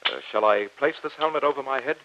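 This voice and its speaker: A man's voice: mid-range voice, quick pace, background noise, close to an everyday voice. A man playing a polite, learned professor who narrates his adventure with earnest wonder in a formal old-time radio style. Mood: excited. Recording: muffled old radio recording.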